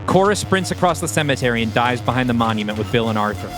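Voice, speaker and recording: A man narrates a scripted audio play, setting scenes and action in present tense. His voice sits at a medium pitch. Music plays clearly underneath him. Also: animated, fast talker. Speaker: narrator reading stage directions.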